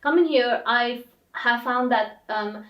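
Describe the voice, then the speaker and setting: A woman's voice: on the high side, slow pace, highs cut off. Woman from nepal talking earnestly with lots of you-knows, echoey room, mic far away. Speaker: guest, community leader. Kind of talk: interview answers.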